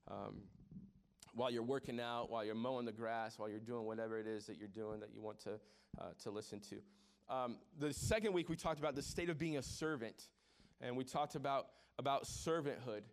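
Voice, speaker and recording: A man in his forties preaching a sermon as pastor, conversational and joking with the congregation, often repeating words as he restarts. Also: mid-range voice. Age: 40s.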